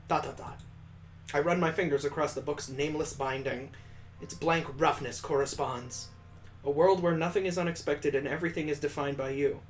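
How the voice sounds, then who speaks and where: A man with a somewhat high-pitched voice reads visual novel narration aloud. His delivery is expressive and playful, slipping into casual joking commentary as he hosts. A light layer of noise sits behind him. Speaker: gaming video host.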